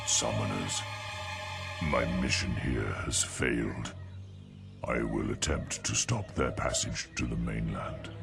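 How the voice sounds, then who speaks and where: Weary old fantasy hero, super gravelly n slow, breathy, urgent, game cutscene. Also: male voice, extremely low pitch, full character voice. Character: dying veteran male hero, weary and urgent.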